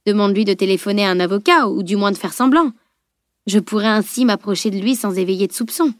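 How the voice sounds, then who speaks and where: A woman playing an earnest, calm young man. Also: medium pitch, fast, no echo, studio quality, natural voice. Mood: thoughtful. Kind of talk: dramatised audiobook dialogue.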